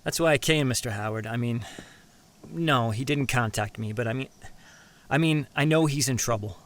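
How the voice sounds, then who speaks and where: An actor playing an apologetic, nervous young man in an audio drama, halting and deferential. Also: medium pitch, fairly bright tone, lightly acted. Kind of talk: naturalistic audio drama acting.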